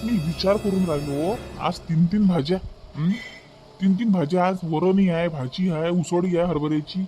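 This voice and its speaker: A man's voice: high voice, moderate pace, warm tone, soft background music, natural voice. A man voicing a teasing, grumbling young husband in a cartoon family comedy, speaking colloquially with a Vidarbha Marathi accent. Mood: suspicious teasing.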